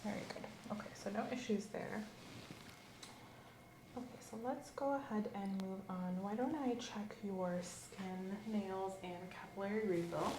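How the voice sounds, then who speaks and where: A woman speaks softly and calmly, walking through a check-up like a gentle nurse and at times slipping into a whisper. Her voice sits at a middle pitch. The room sounds roomy and echoey, with steady ambience clearly audible behind her. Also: unhurried, background noise. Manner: calm, gentle, clinical instructions, slipping into whispers. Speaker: ASMR creator playing a nurse. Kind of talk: ASMR medical examination roleplay.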